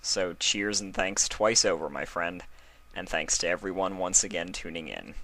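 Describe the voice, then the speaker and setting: A man reading fan fiction aloud as narrator, in an expressive storytelling style. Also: sits low, normal speed.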